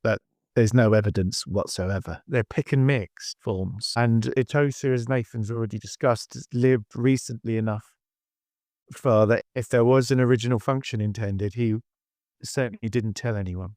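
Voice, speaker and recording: A man's voice: medium pitch, moderate pace, a little mellow, no echo, clean studio sound. A man who speaks firmly and argumentatively in a discussion. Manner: firm and argumentative.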